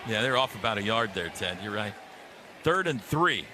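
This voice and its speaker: Man calling football over loud stadium noise. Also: fairly low pitch, normal speed. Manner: clipped phrases that build to excited calls. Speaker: radio play-by-play announcer. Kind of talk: live football play-by-play commentary. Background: stadium crowd and ambience.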